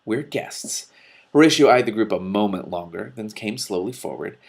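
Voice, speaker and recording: A man's voice: on the low side, lively intonation, brisk, vocal fry. A man reading a novel aloud and breaking off for casual commentary. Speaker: reader and commentator.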